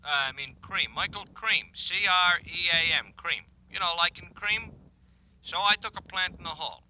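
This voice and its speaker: A man's voice: sits high, lively intonation, normal speed, pushed and bright, full character voice. An actor playing a formal, literal-minded plainclothes policeman reporting in during a mid-century radio drama. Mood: dutiful. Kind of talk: 1950s radio drama acting. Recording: noisy vintage radio recording.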